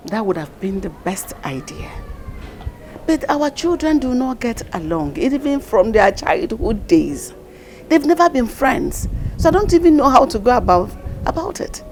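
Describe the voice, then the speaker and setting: A woman's voice: on the high side, very animated, measured pace, a little mellow, soft background music, rough recording. A woman with a Nigerian accent playing an exasperated middle-aged queen in a film drama. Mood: worried. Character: exasperated middle-aged queen worried about her unmarried son. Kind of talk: Nollywood film acting.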